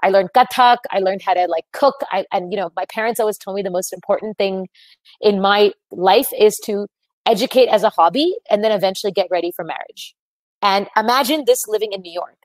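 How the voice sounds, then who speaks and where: Brief: American woman, thirties, webinar speaker, earnest storyteller, dry close sound, mid pitch. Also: a little fast, dry recording, rough recording. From United States. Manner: earnest, reflective storytelling with frequent fillers. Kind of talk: presenting a webinar talk.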